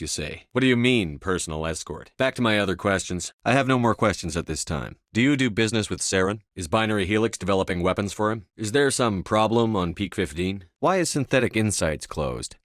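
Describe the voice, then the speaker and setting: A man's voice: lowish voice, no echo, clean studio sound, natural voice. A man playing a stern, commanding space soldier hero in a video game, speaking in a terse, controlled, authoritative way. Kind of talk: video game dialogue acting.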